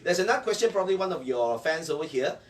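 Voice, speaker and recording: A man's voice: a little high, expressive, quick pace. A man hosting a conversational interview and putting questions to a guest. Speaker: interviewer, show host. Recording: distant mic with faint background noise.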